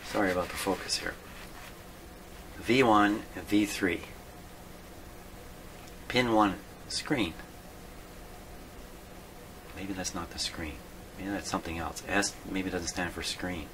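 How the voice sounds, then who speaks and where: A man thinking aloud in an unscripted workbench video, halting and puzzled, muttering to himself in a self-deprecating way. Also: low voice, a bit bright. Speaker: host of a radio repair video. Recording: clearly noisy hobby video recording.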